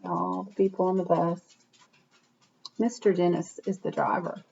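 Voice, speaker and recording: Unhurried woman reading aloud, slightly muffled. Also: lowish voice, level tone, gravelly, darkish tone, slight background noise. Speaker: read-aloud reader. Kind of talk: expressive picture-book read-aloud with asides to children. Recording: dull, muffled lo-fi sound.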